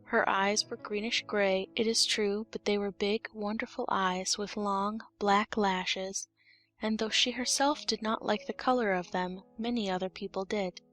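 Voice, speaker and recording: A woman narrates a storybook in a scripted reading style. Her voice sits at an average pitch. Faint music plays behind her. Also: fairly even intonation, unhurried, fairly bright tone. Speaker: audiobook narrator.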